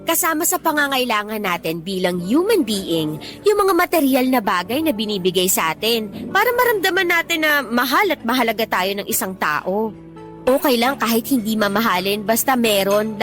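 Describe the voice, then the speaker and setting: An actress playing a blunt, protective young Filipino best friend in a radio drama, scolding emphatically in slangy speech. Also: female voice, fairly high pitch, fairly bright tone. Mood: exasperated, protective.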